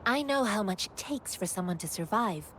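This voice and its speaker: An actress voicing an earnest, generous young wandering martial disciple in a video game, speaking in a calm, formal, sage-quoting manner. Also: female voice, medium pitch, normal speed, background noise, close to an everyday voice. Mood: earnest, composed.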